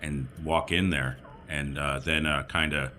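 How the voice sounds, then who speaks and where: A man's voice: extremely low pitch, fairly even intonation, a little slow, bright, forceful tone, a little hiss or hum. A man improvising casually as a tabletop role-playing player, hesitant and a bit sheepish.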